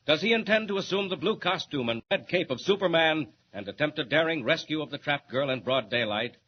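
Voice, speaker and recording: A male announcer narrating a 1940s radio serial with dramatic, booming cliffhanger delivery. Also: lo-fi sound, a little hiss or hum.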